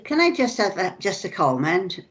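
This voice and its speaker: A woman's voice: medium pitch, big pitch swings, normal speed. A woman with a Welsh accent, a panel member making a plain-spoken comment in an online meeting. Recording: dull video-call audio.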